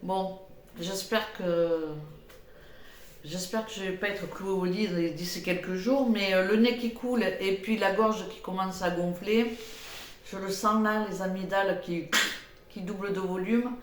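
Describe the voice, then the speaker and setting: An older woman with a head cold, chatting casually in a cooking vlog, rambling with many pauses and fillers. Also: medium pitch, fairly even intonation, roomy sound, slight background noise. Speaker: cooking vlogger.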